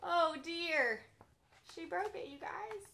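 Young woman, children's storytime host. High, bright voice, expressive read-aloud addressed straight to little listeners. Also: slow pace, vocal fry, slight background noise. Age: young adult. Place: bedroom, sitting up in bed.

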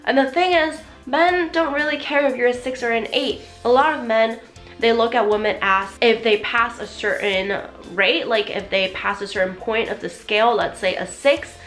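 A woman in her twenties talking to camera in a bedroom, animated and chatty with lots of fillers, her voice half gone. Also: a little high, normal speed, soft background music. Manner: animated, chatty, gestures a lot, many "like" fillers. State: losing her voice. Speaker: vlogger. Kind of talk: casual talk to camera. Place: small bedroom indoors.

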